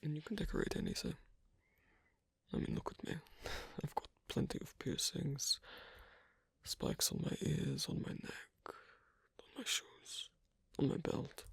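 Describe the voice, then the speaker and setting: A performer voicing a guarded, shyly affectionate werewolf on a date in an ASMR roleplay, speaking in a husky, breathy whisper with a halting, hesitant delivery. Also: mid-range voice, wildly swooping pitch, dry recording, exaggerated voice. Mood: reserved, gently advising. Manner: halting, soft-spoken, hesitant. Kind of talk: ASMR roleplay, one-sided intimate conversation.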